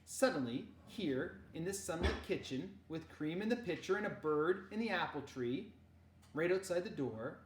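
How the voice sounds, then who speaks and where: An animated woman storyteller reads a children's novel aloud. Her pitch moves around freely with the action, and the room adds a clear echo to her voice. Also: normal speed. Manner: animated, dramatizes dialogue. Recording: roomy echo.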